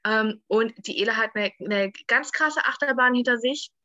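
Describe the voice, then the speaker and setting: A woman's voice: on the high side, normal speed, pushed and bright, dry recording, highs cut off. A woman hosting a podcast, asking curious, upbeat questions in a casual style. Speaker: podcast host, interviewer.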